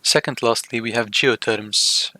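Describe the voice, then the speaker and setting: A man narrating a software tutorial step by step, speaking in short, measured, halting phrases. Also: mid-range voice, fairly even intonation, dry recording. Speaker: software demonstrator. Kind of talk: scripted tutorial narration of a screen demo.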